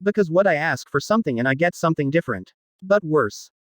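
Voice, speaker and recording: A man's voice: fairly high pitch, expressive, fast, no echo, lightly acted. A man playing a cautious, skeptical, worried teenage boy in a visual novel, with casual slangy speech. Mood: wary.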